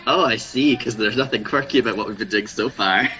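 A man's voice: lowish voice, fairly quick, over music. A man in his twenties from Scotland, a drag performer chatting in a playful, self-mocking way and laughing often. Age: 20s. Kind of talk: casual comedic chat.

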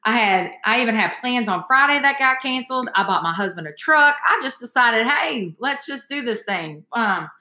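A woman's voice: a little high, animated, moderate pace, few pauses, pushed and bright, reverberant. A woman, hosting a podcast and telling stories chattily, calling her listeners y'all. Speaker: podcast host. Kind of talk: casual storytelling chat.

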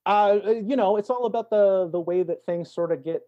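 A man speaking as an interview guest, an actor talking in a thoughtful, reflective way with measured phrasing and emphatic swells. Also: high-pitched, dull audio. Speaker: podcast guest, stage and voice actor.

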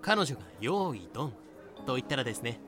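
Man acting a lively, courteous sale assistant. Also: mid-range voice, fairly quick, over music, lightly acted. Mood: polite. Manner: polite, helpful. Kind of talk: fan-made audio drama acting.

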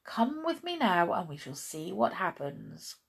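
Woman doing a kind little fat man in a kids story, earnest n gentle, bit husky and creaky. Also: lowish voice, very animated, unhurried, exaggerated voice. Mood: brisk, kindly.

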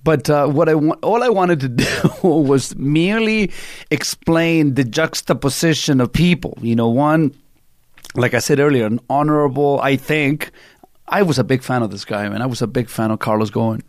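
A middle-aged man from Chile with a Chilean Spanish accent, hosting a talk radio show in an animated, rambling storytelling way. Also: mid-range voice, moderate pace, no room sound, clean studio sound. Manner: animated storyteller, many fillers and restarts. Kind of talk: casual opinionated talk radio chat.

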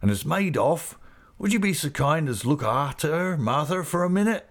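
A man voicing a rough, big-hearted seafaring uncle in an audiobook, effusive and excited, with a rustic East Anglian accent. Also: on the high side, moderate pace, voice pushed up. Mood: proud, excited. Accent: East Anglian English rustic dialect. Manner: rapturous, effusive, breathlessly excited. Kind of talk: character voice in audiobook reading.

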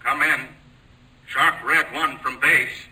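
A man's voice: fairly high pitch, fairly even intonation, slow pace, bright, forceful tone, exaggerated voice. A man acting a wartime airman calling on the radio in a 1940s radio drama. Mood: urgent calling. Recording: noisy, distant old radio drama recording.

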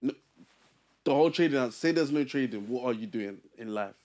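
Relaxed young podcast host. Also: male voice, medium pitch, moderate pace. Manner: relaxed, uses London slang. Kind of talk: casual chat.

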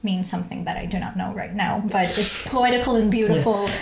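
A woman's voice: mid-range voice, a little fast, creaky, darkish tone, reverberant. A young woman with an American accent, a guest actress on a casual podcast, talking in a playful, giggly, teasing way. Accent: American English. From Ukraine, raised in Spain. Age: young adult.

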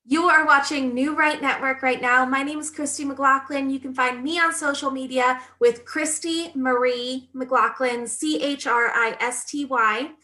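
Woman interview host, bright, slightly high, polished and upbeat. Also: fairly even intonation, measured pace, few pauses. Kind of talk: interview hosting.

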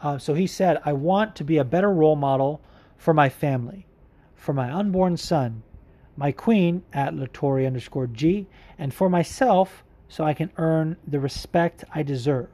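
A man hosts a casual podcast, talking loosely and bluntly with plenty of swearing and filler words. His voice sits a touch higher than most men's. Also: normal speed, mellow. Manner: candid, profane, rambling with fillers. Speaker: podcast host. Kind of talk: casual MMA commentary, answering listener questions.

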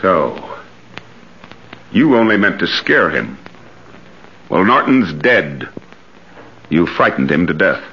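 Casting: firm, take-charge man in old radio thriller, sharp lively voice. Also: low voice, slow pace, long pauses, exaggerated voice. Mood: stern, suspicious. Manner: firm, commanding. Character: brisk, protective, no-nonsense companion taking charge. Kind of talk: 1940s radio thriller acting. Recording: thin, muffled old radio recording.

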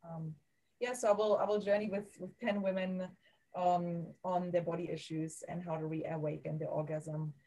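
A woman with a German accent speaks in a mellow, low voice with very little rise and fall. She talks slowly and hesitantly, often pausing to find her words as a podcast guest. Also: flat delivery, low-quality recording. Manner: soft, halting, searching for words. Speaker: guest, women's coach. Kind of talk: podcast interview.

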